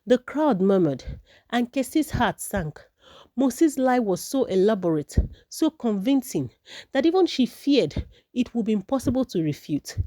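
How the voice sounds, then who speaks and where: A woman's voice: fairly high pitch, sing-song, unhurried, warm tone, dry recording. A woman narrating a folktale from a script as a storyteller.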